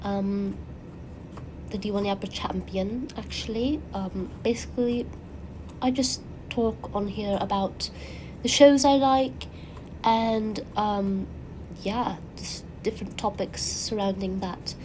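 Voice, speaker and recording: A woman with a cold, her voice raspy and congested, chatting casually and rambling animatedly as the host of her own channel. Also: mid-range voice, slow pace, noisy background. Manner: rambling, animated, frequent fillers and restarts.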